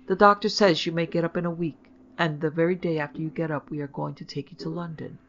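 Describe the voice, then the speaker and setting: Storybook mother role, read by a woman: affectionate yet firm, brisk, genteel, on a dull recording. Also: sits low, fairly even intonation. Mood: cheerful. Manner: brisk, coaxing, reproving. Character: fond, firm, upper-class mother.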